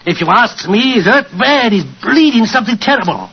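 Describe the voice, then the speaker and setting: High-voiced Cockney street loafer. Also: male voice, lively intonation, brisk. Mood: concerned. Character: rough working-class London loafer, feigning concern. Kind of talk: 1940s radio acting. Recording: muffled, hissy old radio recording.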